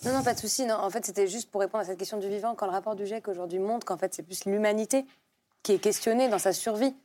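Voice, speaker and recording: Young woman, rapid speech, medium pitch, polite, insistent, panel debate. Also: mellow. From Paris, France. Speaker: panel guest, ecology advocate.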